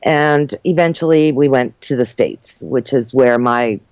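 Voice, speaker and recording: A woman's voice: rumbling low, unhurried, no room sound. Older woman on a muffled call. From Uruguay, raised in New Jersey and New York. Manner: chatty, warm storytelling with frequent fillers and laughs. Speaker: guest. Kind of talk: casual interview chat.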